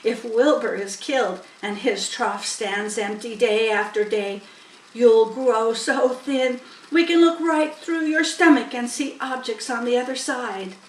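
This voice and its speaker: A woman's voice: on the high side, measured pace, echoey room, far from the mic, lightly acted, poor audio. A woman voicing a shrewd old sheep who lectures firmly and emphatically in a storybook. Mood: stern, lecturing. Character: old female sheep, shrewd, firm, persuasive barn elder.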